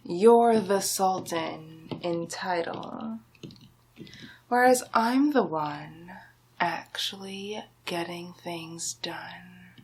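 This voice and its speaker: A smug, scheming royal vizier played by a woman in an ASMR villain roleplay, hungry for the throne. The delivery is extremely slow and deliberate, every phrase laid out with silky, theatrical menace. The voice is soft and breathy, often sinking into a whisper. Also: fairly low pitch, heavily acted. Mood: condescending, ambitious.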